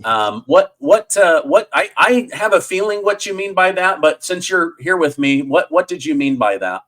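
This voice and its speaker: A man's voice: high voice, sing-song, normal speed, a bit bright. A man hosting a podcast interview, asking his questions hesitantly with many false starts. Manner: hesitant, stacks filler words before questions. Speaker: podcast host.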